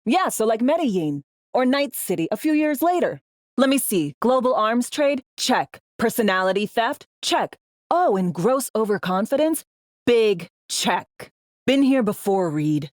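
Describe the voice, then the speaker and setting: An actress playing a tough, bossy, wry spy handler in a cyberpunk video game, with clipped, slangy, teasing delivery. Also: female voice, fairly high pitch, very animated, unhurried, dry recording, clean studio sound. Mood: sardonic. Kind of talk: video game voice acting, briefings and radio guidance.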